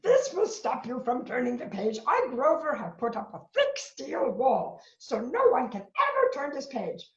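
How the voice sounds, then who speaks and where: Storybook monster, male, furry and blustering, played by a woman: high, wildly swooping delivery, melodramatic begging. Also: sing-song, a little slow, few pauses, exaggerated voice. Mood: anxious. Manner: melodramatic, pleading. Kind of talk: animated children's read-aloud. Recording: roomy, dull lo-fi sound.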